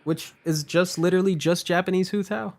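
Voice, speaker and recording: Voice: mid-range voice, steady tone, fairly quick, darkish tone. A game streamer giving casual live commentary, chatting in a playful, slangy way with plenty of swearing.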